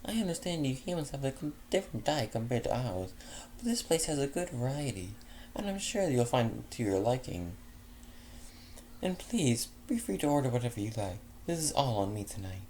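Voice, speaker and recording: A man reading a fanfic aloud, voicing a gracious, generous female pony with a higher voice. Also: big pitch swings, normal speed, mellow, a little hiss or hum, natural voice. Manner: gracious, polite.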